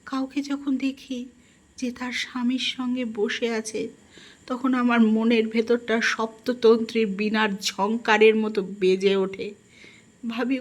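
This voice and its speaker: A woman reads a first-person literary story in an expressive, melancholic and dramatic manner. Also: a little high. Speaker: audiobook narrator.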